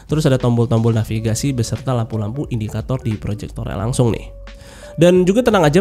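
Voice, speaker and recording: A young man narrates a tech review as a voice-over, sounding nasal and blocked up from a cold. His tone is dark and mellow and his manner chatty and loose. Faint music plays under him. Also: mid-range voice. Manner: chatty and informal, with many filler particles. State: stuffy nose from a cold. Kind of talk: casual product review voice-over. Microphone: voice-over mic.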